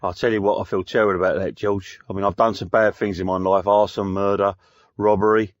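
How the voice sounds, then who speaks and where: Reformed hard-man guest played by a man, radio comedy: lowish, laconic, blokey, dry and slightly muffled sound. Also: level tone, brisk, no room sound, close to an everyday voice. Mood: mock-contrite. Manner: matter-of-fact, laconic, blokey. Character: reformed male career criminal, unrepentantly blokey studio guest.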